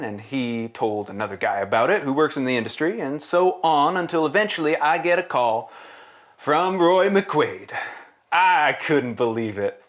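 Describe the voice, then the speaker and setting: A smarmy indie film director in an audio drama interview. His voice sits fairly high for a man and swings expressively. He is glib, boastful and far too familiar, forever selling himself. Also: fairly bright tone. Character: smarmy, sleazy, self-important male indie film director. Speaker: actor playing an interview guest. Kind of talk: audio drama acting as a podcast interview guest.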